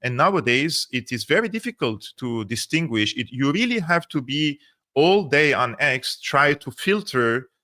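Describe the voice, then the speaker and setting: A man's voice: medium pitch, very animated, normal speed, bright, forceful tone. A man from Syria hosting a political livestream, talking earnestly and often repeating words as he searches for phrasing. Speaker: political commentary host.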